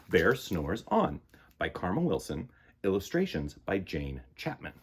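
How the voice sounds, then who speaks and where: A man presenting children's storytime, reading and chatting in a playful, expressive way. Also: fairly low pitch, takes it slowly, gravelly, slight background noise. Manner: playful, expressive, dramatic shifts in volume. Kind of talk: animated picture-book read-aloud and casual chat.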